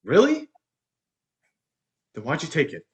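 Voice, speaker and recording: A young husband played by a male student actor in a stage drama, controlling and insecure. His pitch swings widely as he belittles his wife. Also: wildly swooping pitch, slow, heavily acted. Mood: scornful. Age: young adult. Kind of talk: stage play dialogue.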